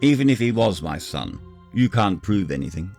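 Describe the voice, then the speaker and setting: A man acting as a proud, moneyed party host in an audio drama. The voice is low and creaky, with a defensive streak and faint music behind it. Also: sing-song, moderate pace, a little mellow, reverberant, distant mic.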